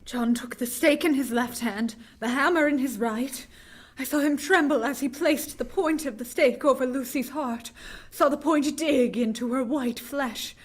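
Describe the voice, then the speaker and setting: Actress as young lady narrating horror, high voice, intense vintage radio drama style. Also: unhurried. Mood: tense, mounting horror. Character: horrified young woman recounting a gothic tale.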